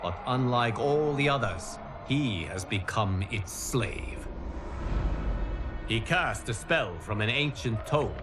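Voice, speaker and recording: Solemn guardian god, wise, grave, formal, slow-paced, mid-pitched, fighting game narration, music behind. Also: male voice. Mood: grave, urgent. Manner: measured, grave, formal diction.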